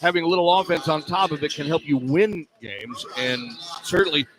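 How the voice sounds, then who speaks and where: A man's voice: on the high side, moderate pace. A man working as a play-by-play sportscaster, giving live pre-game commentary on sports radio. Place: courtside in a sports arena. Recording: crowd noise of an arena. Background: arena crowd.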